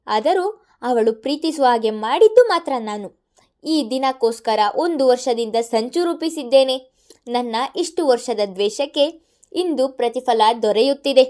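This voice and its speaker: A woman voicing a gloating, vengeful middle-aged man who taunts loudly over the phone. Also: high-pitched. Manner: taunting, sneering, loud.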